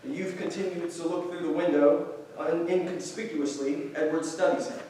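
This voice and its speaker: A man's voice: fairly high pitch, fairly even intonation, normal speed, dark tone. A man reading scripted stage directions as narrator at a staged play reading. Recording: very echoey room, distant, faint noise.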